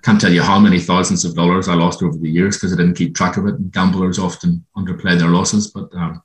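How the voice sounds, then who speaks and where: An Irish man, a guest being interviewed, who tells his own story in a reflective way and pauses often with ums. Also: deep voice, little pitch movement. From Ireland. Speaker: guest, former gambling addict. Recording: roomy echo.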